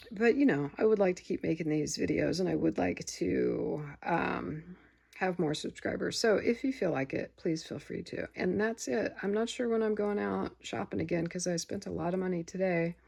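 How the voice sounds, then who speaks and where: A tired woman with a gravelly voice, rambling casually about her record haul in a home vlog. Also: fairly low pitch, darkish tone. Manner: rambling, many fillers and restarts. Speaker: vinyl record vlogger. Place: home room with window open. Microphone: phone camera.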